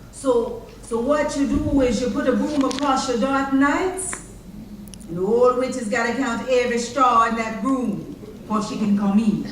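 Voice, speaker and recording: A woman plays a folksy, superstitious stage character patiently explaining old lore. She is high-pitched and slow, distant from the mic in a heavily echoing chapel. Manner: unhurried, explanatory folk telling. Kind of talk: readers theater monologue. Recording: very echoey chapel, distant mic, faint noise.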